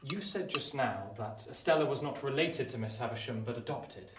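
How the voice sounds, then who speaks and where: A man's voice: mid-range voice, normal speed, close to an everyday voice. An actor playing an earnest, polite, eager young man new to London in a radio play. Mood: curious. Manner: earnest, questioning. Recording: echoey, distant, faintly noisy.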